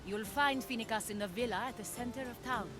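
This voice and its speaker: An actress playing a composed, worldly advisor in an ancient Greek video game, speaking in a measured, deliberate way. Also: female voice, on the high side, music in the background, lightly acted. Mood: calm counsel. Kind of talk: video game cutscene acting.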